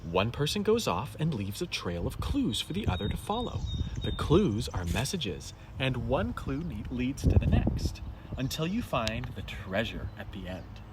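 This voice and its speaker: Man voicing a lively, cheerful storybook animal hero. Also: mid-range voice, a little slow, recorded from a distance, very noisy. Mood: patient, explaining. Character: Percy, cheerful good-natured male animal, children's storybook hero.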